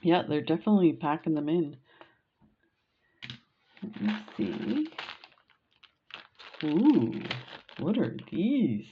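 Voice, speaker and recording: A woman's voice: low-pitched, very animated, slow pace, pauses often, lo-fi sound, a little hiss or hum. A middle-aged woman with a Canadian accent chatting casually and gushing as she unboxes beads for her vlog, with plenty of fillers. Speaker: beading vlogger.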